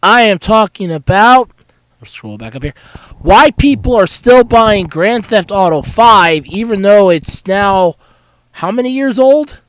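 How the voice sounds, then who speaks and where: A young man hosting a casual solo podcast while sick with a cold, hoarse and stuffy, rambling candidly about his week. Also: high-pitched, expressive, a little slow, a bit bright, dry recording, low-quality recording. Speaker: podcast host.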